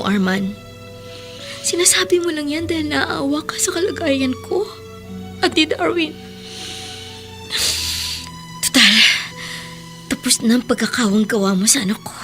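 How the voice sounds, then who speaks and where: Proud, wounded young mother. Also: high-pitched. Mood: bitter, defiant. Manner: intense, defiant, dramatic. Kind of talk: melodramatic Filipino radio drama acting.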